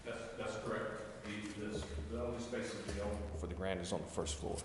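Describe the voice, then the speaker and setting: A man's voice: fairly low pitch, fairly even intonation, fast. A man answering questions as an official at a public meeting, explaining things in an echoey room. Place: city council chamber, indoors. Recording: echoey hall with faint noise.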